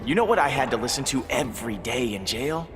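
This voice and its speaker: A man's voice: mid-range voice, animated, a little fast, natural voice. A man playing a blunt, needling ex-convict villain in a video game. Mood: mocking. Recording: game audio over music.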